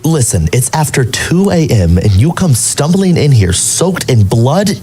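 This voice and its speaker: A man playing an uneasy but well-meaning night-shift gas station clerk in an audio drama, in a hesitant, halting manner. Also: medium pitch, sing-song, mellow, slightly rough, soft background music. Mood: firm, exasperated.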